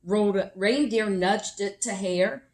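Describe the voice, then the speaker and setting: A woman reading a picture book aloud expressively for children, with deliberate emphasis. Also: medium pitch, darkish tone. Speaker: children's story reader.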